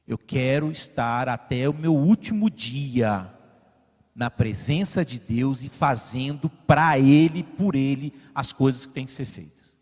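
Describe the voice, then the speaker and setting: A man from Brazil preaching a sermon as pastor, building to emphatic peaks and then falling to quiet asides. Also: medium pitch, measured pace, in a large hall. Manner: directs the slide operator mid-sermon. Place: church sanctuary.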